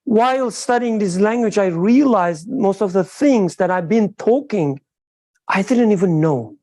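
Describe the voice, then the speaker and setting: Slow, mellow woman. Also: medium pitch, lively intonation. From Turkey. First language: Turkish. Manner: halting, searching for words. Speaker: study circle participant. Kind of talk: group discussion.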